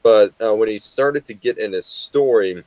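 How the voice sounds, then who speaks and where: A man's voice: on the low side, normal speed, a little mellow, no echo. A young American man co-hosting a casual talk show, rambling with lots of fillers and restarts. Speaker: co-host. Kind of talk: casual chat.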